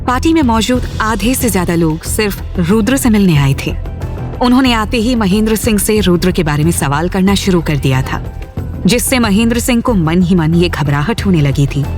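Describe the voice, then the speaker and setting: Casting: female audio-series narrator, slightly low voice, dramatic scripted read, prominent music bed. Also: a little mellow.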